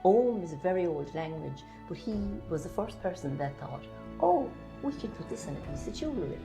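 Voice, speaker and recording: Irish woman in her 60s, native Irish speaker, low voice, proud shopkeeper, lapel mic. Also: big pitch swings, fairly quick, gravelly, over music. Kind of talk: seated interview.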